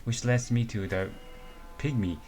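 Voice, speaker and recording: A man reading the lines of a young woman survivalist in an amateur comic reading, with a plain, low-key delivery. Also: measured pace, a little mellow, slight background noise, natural voice.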